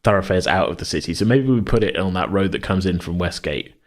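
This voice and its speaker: A man co-hosting a podcast in a casual worldbuilding chat, rambling as he thinks out loud. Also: low-pitched, fast. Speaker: podcast co-host.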